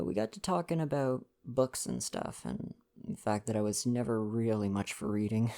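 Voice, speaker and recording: A young man doing an intimate ASMR boyfriend roleplay, chatting casually and reading aloud in a gentle, playful, affectionate way. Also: on the low side, gravelly. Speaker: ASMR roleplay performer and reader.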